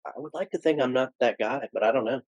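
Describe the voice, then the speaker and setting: A man's voice: medium pitch, quick pace, a little mellow, husky, no echo. A man co-hosting a casual podcast, speaking in short, laid-back replies. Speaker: podcast co-host. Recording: dull, muffled microphone.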